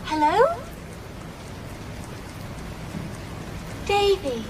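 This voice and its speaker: A woman's voice: very high-pitched, huge pitch swings, noisy background, exaggerated voice. An actress playing a brave, tender young woman in a radio play, speaking in hesitant, breathless phrases. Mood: uncertain. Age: young adult. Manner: hesitant, breathless, halting speech. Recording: music under the voice.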